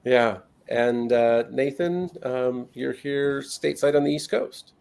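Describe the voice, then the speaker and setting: Gravelly-voiced male interview host. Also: mid-range voice, steady tone, slow pace, a little mellow. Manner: friendly, loose, with many fillers. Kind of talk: casual interview hosting.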